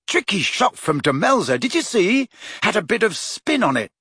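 A cocky teenage lad from a storybook, voiced by a grown man, excitable and very pleased with himself. His pitch is lifted and bounces around as he boasts, with a slightly rough edge. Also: a little slow, dry recording, clean studio sound, heavily acted. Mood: boastful, happy. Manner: boastful, chatty, upbeat.

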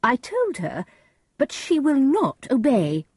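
A woman's voice: high-pitched, wildly swooping pitch, slow pace, a little mellow, slightly rough, no echo, studio quality, voice pushed up, heavily acted. A woman voicing a composed noblewoman and mother in a storybook, with a firm manner. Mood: reporting.

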